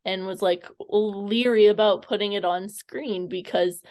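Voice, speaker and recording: A woman's voice: mid-range voice, steady tone, measured pace, a little mellow. A woman interviewing someone casually, tentative and rambling, stumbling over her words as she goes.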